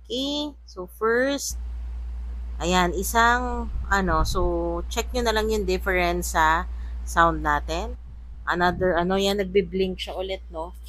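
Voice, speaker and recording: A woman's voice: lowish voice, slow, long pauses. A woman with a Filipino accent giving a casual, chatty gadget demonstration as a product reviewer. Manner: chatty, mixing two languages. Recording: noisy room with steady background hum. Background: air conditioner or fan hum.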